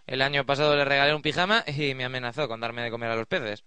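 A man's voice: medium pitch, steady tone, fast talker, pushed and bright, clear, resonant tone, no echo. A man narrating a parody advertisement in an upbeat announcer style.

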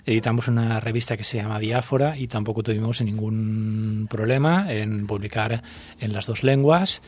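A man from the Valencia region, a poet answering interview questions in a measured, thoughtful way with frequent hesitations. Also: lowish voice, darkish tone. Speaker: poet, guest.

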